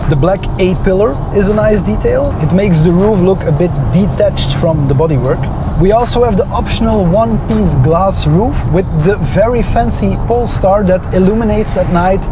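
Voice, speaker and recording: Dutch-accented man reviewing a car. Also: on the high side. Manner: enthusiastic, opinionated, with frequent "uh" fillers.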